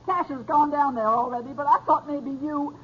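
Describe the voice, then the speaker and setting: A woman's voice: high voice, normal speed, fairly bright tone, slight background noise. An actress playing an anxious frontier woman in an old-time radio western. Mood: distraught, urgent. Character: anxious frontier woman bearing urgent bad news. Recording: muffled vintage radio recording.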